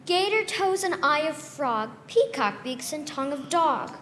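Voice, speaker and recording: A sly old country woman coos in a folksy, singsong drawl. Her voice sits very high and rings with hall reverb. Faint noise lies under the cartoon track. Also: animated, measured pace, fairly bright tone. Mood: sly, sugary. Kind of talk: cartoon voice acting.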